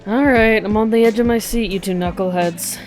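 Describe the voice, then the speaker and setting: A woman acting a tough, sardonic policewoman who gets halting and flustered, in casual audio drama dialogue. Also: medium pitch, lightly acted, rough recording. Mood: wry. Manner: sardonic, halting when awkward. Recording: music behind the voice.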